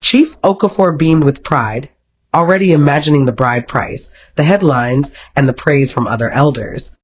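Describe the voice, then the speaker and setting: A storyteller reading a scripted romantic folktale in a dramatic, expressive manner. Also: medium pitch, normal speed, slight background noise. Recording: dull, lo-fi sound.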